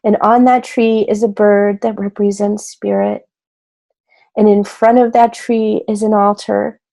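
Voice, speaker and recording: Female meditation guide: relaxed, warm tone, very slow delivery, gentle and soothing with spacious pauses. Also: mid-range voice. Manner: gentle, unhurried, with long pauses between short phrases.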